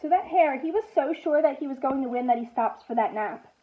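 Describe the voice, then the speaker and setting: A woman teacher doing an expressive picture-book read-aloud for young children, commenting and asking prompting questions in an animated way. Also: high-pitched, fairly quick, phone-quality sound. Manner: expressive, animated storytelling with gasps and exclamations.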